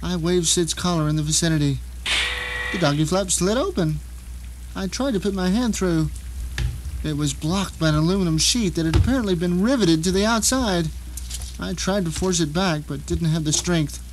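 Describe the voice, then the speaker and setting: A man's voice: sits high, moderate pace, a little hiss or hum, natural voice, rough recording. A man playing a bitter, vengeful blind man trapped at home, narrating an audio drama in an intense, theatrical way. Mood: desperate, determined. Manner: intense, theatrical, emphatic delivery.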